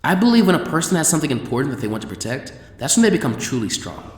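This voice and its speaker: A man voicing a calm, seasoned ninja teacher in a measured, grave, low-key manner for a story reading. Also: breathy edge.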